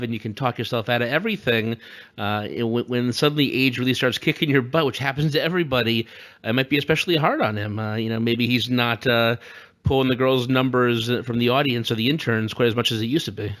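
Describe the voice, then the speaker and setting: A man's voice: mid-range voice, a bit bright. A man co-hosting a podcast with casual, joking political commentary full of teasing asides. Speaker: podcast co-host.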